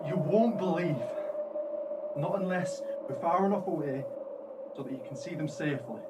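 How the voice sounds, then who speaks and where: A man's voice: on the high side, normal speed, lightly acted. A man acting an urgent, distant-sounding hotel insider. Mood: insistent warning. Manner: grave, insistent. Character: fearful, urgent hotel insider warning of hidden danger. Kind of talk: radio play acting. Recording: distant mic, noisy outdoor ambience.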